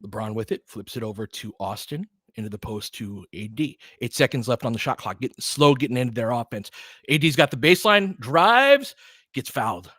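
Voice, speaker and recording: A man's voice: medium pitch, lively intonation, a little fast, a bit bright, no echo. Casual American man, close dry sound. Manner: informal, slangy. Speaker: basketball play-by-play livestream host. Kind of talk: casual fan chat and play-by-play commentary.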